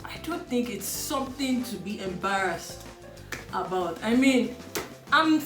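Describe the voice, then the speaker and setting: A woman's voice: medium pitch, animated, a little slow, echoey room, music in the background. A young woman from Southwest Nigeria with a Yoruba Nigerian accent, a guest speaking bluntly and forthrightly in casual chat.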